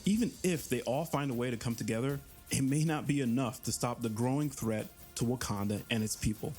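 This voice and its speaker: A man's voice: medium pitch, fairly quick, a little mellow, soft background music. A man presenting a game in a promotional showcase as one of its developers. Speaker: game developer on the narrative team.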